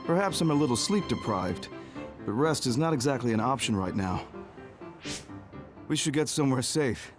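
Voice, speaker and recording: An actor voicing a composed, dutiful major general in an anime game, speaking in a measured, understated way. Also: male voice, mid-range voice, expressive, music in the background, natural voice. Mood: serious. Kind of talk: English anime game voice acting.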